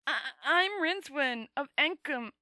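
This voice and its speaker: A woman's voice: expressive, a little slow, bright, forceful tone, dry recording, clean studio sound, heavily acted. A woman voicing a nervous, cowardly male wizard in a storybook reading, stammering and protesting as he tries to plead his way out. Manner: stammering, protesting, squeaky under pressure.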